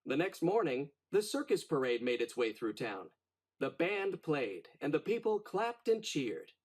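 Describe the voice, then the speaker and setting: Animated, high-pitched man reading a children's story. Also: a little slow. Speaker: storybook narrator. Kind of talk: expressive children's read-aloud narration.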